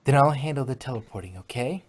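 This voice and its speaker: A woman voicing a studious, earnest female unicorn pony with a husky voice in a fan-made audio drama. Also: extremely low pitch, lively intonation, fast talker, darkish tone, exaggerated voice. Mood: brisk.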